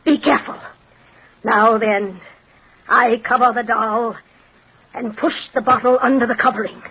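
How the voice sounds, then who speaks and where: Casting: a gloating, witchy female fortune teller, high voice, chanting spells, on a muffled, hissy vintage radio horror recording. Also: steady tone, unhurried, husky. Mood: eerie, intent. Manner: theatrical, incantatory delivery. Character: sinister female fortune teller conjuring souls, sly and gloating. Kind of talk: old-time radio horror acting.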